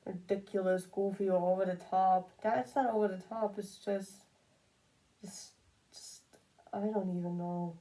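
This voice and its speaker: A woman's voice: medium pitch, steady tone, unhurried, mellow, low-quality recording. A woman giving casual, unscripted opinion commentary, rambling and repeating phrases for emphasis. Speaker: commentary video creator.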